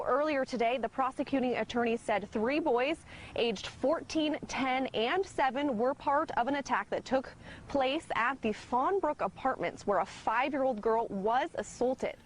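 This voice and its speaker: Tv news lady, higher voice, crisp scripted read, faint noise. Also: a little slow. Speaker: news reporter. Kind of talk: news report.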